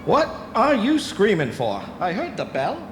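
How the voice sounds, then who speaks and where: An actor playing a practical, reassuring junior lighthouse keeper in a radio drama, expressive and plain-spoken. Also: male voice, sits high, unhurried, soft background music, heavily acted. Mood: puzzled, irritated. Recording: echoey, noisy cassette of radio drama.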